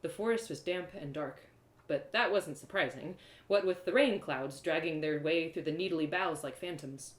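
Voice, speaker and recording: A woman giving an expressive, theatrical reading of a first-person fantasy story as a storyteller. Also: low-pitched, normal speed. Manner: theatrical, dramatic phrasing. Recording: roomy echo.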